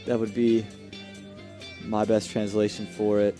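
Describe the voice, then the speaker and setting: A man's voice: fairly low pitch, flat delivery, unhurried, mellow, soft background music. A man giving casual analysis as a live cricket co-commentator, conversational and easygoing.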